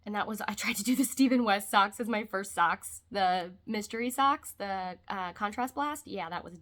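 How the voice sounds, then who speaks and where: A woman's voice: medium pitch, normal speed. Congested woman chatting from her couch. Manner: rambling, chatty, trailing off into quiet asides. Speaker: vlogger. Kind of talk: casual chat. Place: home, on the couch.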